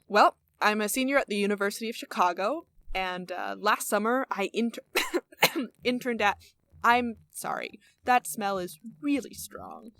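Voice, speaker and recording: An actress playing a young college senior at a job interview in a radio play, emphatic and colloquial. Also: female voice, mid-range voice, moderate pace, a bit bright, natural voice. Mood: nervous, flustered. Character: female college senior job applicant, turning brash bro.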